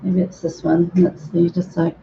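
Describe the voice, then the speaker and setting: A hoarse woman chatting casually and a bit scattered as she hosts a livestream from her home painting room. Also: lowish voice, completely flat delivery, normal speed, dark tone. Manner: rambling, unprepared, chatty. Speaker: livestream host. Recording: dull lo-fi sound.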